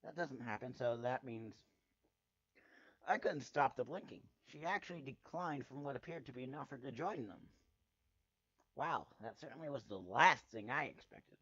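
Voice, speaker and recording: A man narrates fiction in a dramatic, sardonic style. His voice sits at an average pitch. The recording is very dry and somewhat dull and lo-fi. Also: lively intonation, normal speed, fairly bright tone, slightly rough, no echo. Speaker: amateur fanfiction reader. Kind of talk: dramatic first-person story narration.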